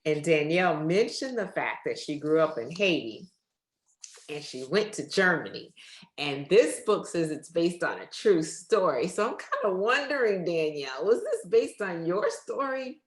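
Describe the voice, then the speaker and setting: Lower-voiced woman host, super enthusiastic faith podcast vibe, echoey room. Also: sing-song, normal speed. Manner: enthusiastic, preacherly.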